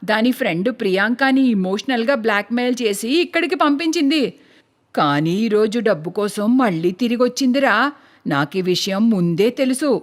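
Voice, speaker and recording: A woman's voice: on the high side, animated, brisk, pitched below the performer's own voice, natural voice. A woman voicing a stern, bossy older mother-in-law in a cartoon story. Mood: scolding. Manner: scolding, commanding.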